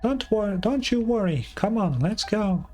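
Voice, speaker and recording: A man puts on a tiny, bubbly fairy girl from a fantasy video game. The voice is pitched well up, childlike and chatty, with game music playing behind it. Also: animated, measured pace, mellow. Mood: reassuring. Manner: bubbly, chatty, refers to self by name. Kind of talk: amateur video game character voicing.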